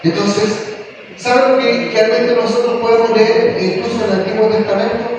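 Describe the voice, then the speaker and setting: High-voiced preacher in an echoey hall. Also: male voice, far from the mic, lo-fi sound, noisy background. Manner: impassioned preaching with repeated rhetorical questions. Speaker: pastor. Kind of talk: sermon. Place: church hall.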